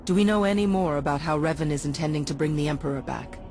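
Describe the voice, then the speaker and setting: Calm, lower-voiced heroine. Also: female voice, soft background music. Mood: calm, serious. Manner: steady, sincere, measured. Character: calm, principled heroic female Jedi. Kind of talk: video game voice acting.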